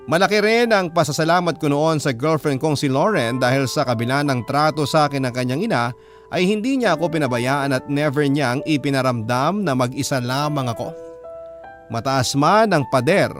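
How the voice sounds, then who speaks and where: An actor playing an earnest boyfriend narrating his love story in a scripted radio drama, sincere, reflective and measured. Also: fairly high pitch, lightly acted.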